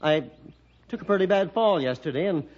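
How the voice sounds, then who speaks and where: Defensive Western cabin owner, American, hesitant, lively swings in pitch, old radio serial. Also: male voice, a little fast. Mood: evasive. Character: defensive male tourist cabin owner, ex-rodeo roper. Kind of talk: 1960s radio drama acting. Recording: dull vintage radio recording.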